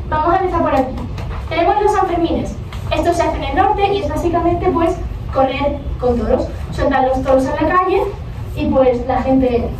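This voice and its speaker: A woman's voice: high-pitched, darkish tone. Teenage girl, Andalusian accent, class presentation, hesitant fillers, far from the mic, noisy echoey classroom. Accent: Andalusian Spanish. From Spain. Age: teens. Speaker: exchange student presenting. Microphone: far camera.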